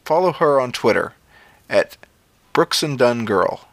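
A man's voice: mid-range voice, sing-song, unhurried, gravelly, no echo. A man hosting a story podcast, delivering a scripted announcement. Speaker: podcast host.

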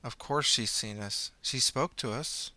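A man voicing an eerie possessing entity that speaks through other people, slow, halting and detached. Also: mid-range voice, pushed and bright, no echo. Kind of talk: audiobook character voice.

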